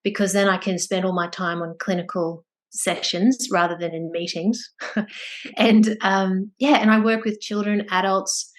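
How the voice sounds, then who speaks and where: A middle-aged woman with a mild Australian accent, a psychologist being interviewed. She talks with lively, bubbly energy and laughs easily. Her pitch sits in a middle range. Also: a little slow. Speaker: clinical psychologist guest. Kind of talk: casual interview chat.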